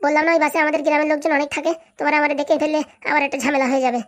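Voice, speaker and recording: A woman's voice: sits high, little pitch movement, racing, close to an everyday voice. A woman voicing an evasive, reassuring young student boyfriend in a comedy cartoon, chatty and brushing off objections. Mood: brisk, exasperated. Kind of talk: Bangla comedy cartoon dialogue.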